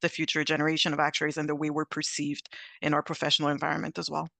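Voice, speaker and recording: Bright, dry-voiced woman. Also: deep voice, monotone, very fast, gravelly, no room sound. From Quebec, Canada. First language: French. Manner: candid, reflective, with frequent restarts. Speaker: panelist. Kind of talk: panel discussion over video call. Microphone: video call.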